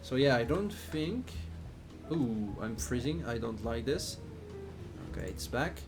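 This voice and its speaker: Slow-talking man with a Swiss accent. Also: medium pitch, big pitch swings, music in the background. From Switzerland. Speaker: flight simulator streamer. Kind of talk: casual live stream chat while playing.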